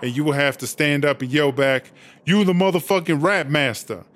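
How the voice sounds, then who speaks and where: A man's voice: a little high, normal speed, fairly bright tone, natural voice. A man voicing a brash, boastful female inmate boss in a comedy audio drama, telling rambling, slangy, profane stories. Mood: cocky.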